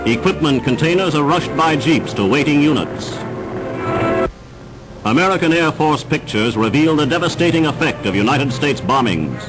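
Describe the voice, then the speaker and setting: Emphatic 1940s newsreel man over loud music. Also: on the high side, expressive, moderate pace, rough recording. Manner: formal, emphatic, declamatory. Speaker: newsreel narrator. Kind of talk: 1940s wartime newsreel scripted narration. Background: dramatic newsreel music.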